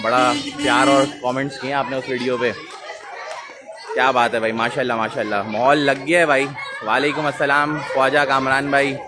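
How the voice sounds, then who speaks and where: Brief: male live-stream host, mid-pitched, chatty, street crowd and traffic behind. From Pakistan. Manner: chatty, greets viewers by name, repeats mashallah. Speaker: livestream host. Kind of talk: live commentary, greeting viewers. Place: city street outdoors.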